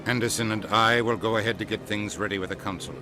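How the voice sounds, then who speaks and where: A man's voice: lowish voice, steady tone, fast, over music, natural voice. A man playing an exasperated, sarcastic middle-aged ambassador in a video game, brusque and authoritative. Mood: skeptical, brusque.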